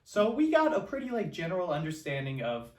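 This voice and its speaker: A man co-hosting a podcast with loose, halting, informal talk about films. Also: sits high, wildly swooping pitch, darkish tone. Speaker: podcast co-host. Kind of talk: casual film discussion. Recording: roomy echo, distant microphone.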